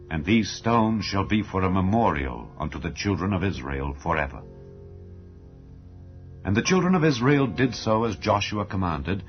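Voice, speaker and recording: Male audiobook narrator, low register, solemn and declamatory scripture reading, slightly muffled recording. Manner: measured, formal, declamatory. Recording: dull lo-fi audio with background music. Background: background music.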